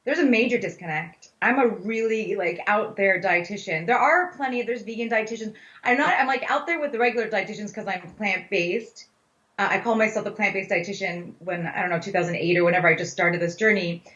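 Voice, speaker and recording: A woman's voice: medium pitch, a little fast, a bit bright. A middle-aged woman answering interview questions conversationally as a dietitian guest, stressing points emphatically with repeated phrases. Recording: roomy, slightly dull sound.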